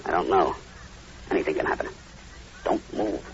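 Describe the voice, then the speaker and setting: An actor playing a grim, resolute American plantation owner, terse and commanding, in a 1940s radio horror drama. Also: male voice, sits low, moderate pace. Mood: grim resolve. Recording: muffled, hissy old radio broadcast.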